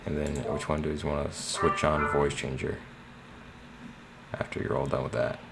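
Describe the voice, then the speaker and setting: A man's voice: very deep voice, little pitch movement, normal speed, music in the background. A man narrating a casual screen tutorial in a plain, slightly hesitant way. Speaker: tutorial host. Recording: clear background noise.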